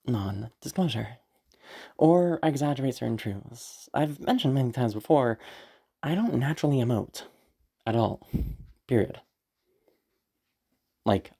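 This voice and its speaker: A woman with a low, deep, mellow voice talks through a casual afterword. She rambles chattily and laughs often. She is a little sick, so her throat sounds worn. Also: unhurried, creaky, pauses often, breathy edge. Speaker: audio roleplay creator. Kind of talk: casual spoken afterword, explaining.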